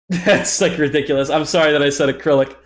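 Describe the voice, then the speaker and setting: A man's voice: on the high side, fairly even intonation, brisk. A young man with a stuffy nose, tired and self-deprecating, rambling through casual commentary on a live game stream.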